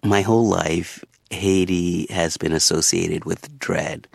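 Low gravelly guy, kinda husky, talking slow and thoughtful in a dry studio. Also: steady tone, darkish tone, no room sound. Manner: measured, thoughtful, deliberate. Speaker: author and interview guest. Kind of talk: reflective interview answers.

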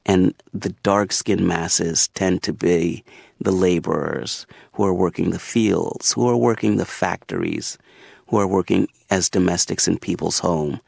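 A man being interviewed as an author, answering in a measured, thoughtful and deliberate way from a radio studio. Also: sits low, creaky, a little mellow, slightly rough, no room sound.

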